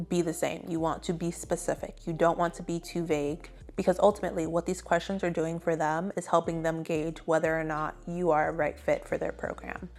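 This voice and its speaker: A woman's voice: on the low side, vocal fry. A young adult woman hosting an advice video, talking casually and conversationally to viewers with emphasis on key points. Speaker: video host, graduate student giving advice.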